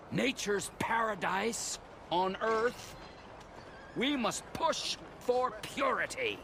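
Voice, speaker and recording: An actor playing a zealous, indignant bigot preaching on the street in a video game, theatrical and pompous. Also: male voice, high-pitched, creaky, noisy background, heavily acted. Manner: pompous, theatrical soapbox oratory.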